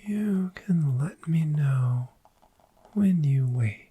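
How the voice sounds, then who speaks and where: A performer voicing a friendly, gentle dragon in a soft-spoken ASMR roleplay, breathy and mostly whispered, soothing and lingering over words. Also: medium pitch, expressive, heavily acted. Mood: sleepy, tender. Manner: slow, soothing, lingering repetition. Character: friendly, gentle dragon host of a sleepy hoard.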